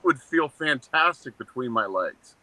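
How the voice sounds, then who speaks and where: A man in his seventies co-hosting a casual talk show, joking bluntly and ranting in short, flat statements. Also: mid-range voice, unhurried, low-quality recording. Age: 70s. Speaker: co-host. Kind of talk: casual chat.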